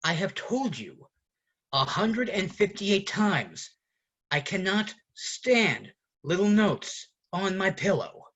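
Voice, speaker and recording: A man playing an exasperated roommate ranting at his fussy friend in a deliberate theatrical monologue with dramatic pauses. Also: high voice, big pitch swings, fairly bright tone, dull audio, full character voice. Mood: exasperated, irritated. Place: online video call performance.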